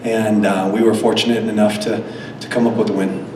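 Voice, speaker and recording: A man coaching a team, slightly hoarse from a cold, answering press questions with many fillers and restarts. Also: on the low side, little pitch movement, fairly quick. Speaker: head coach. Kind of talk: post-game press conference answers. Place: press conference room. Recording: echoey room, distant mic, background noise.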